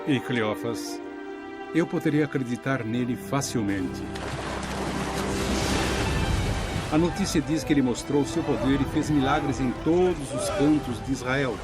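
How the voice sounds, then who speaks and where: An actor playing a thoughtful, devout man who narrates his letters in an animated Bible film, reflective, measured and earnest. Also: medium pitch, close to an everyday voice. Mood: marveling. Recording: loud music under the voice.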